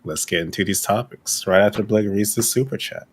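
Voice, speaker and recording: A man co-hosting a podcast, welcoming listeners with dry, deadpan teasing. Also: fairly low pitch, fairly quick, slightly rough. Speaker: podcast co-host giving the welcome. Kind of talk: casual podcast chat.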